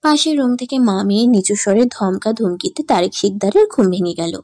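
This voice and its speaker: A woman reads a dramatic story as narrator. Her voice is medium in pitch with a soft, mellow tone, and the recording is close and dry. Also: lively intonation, a little fast, dry recording.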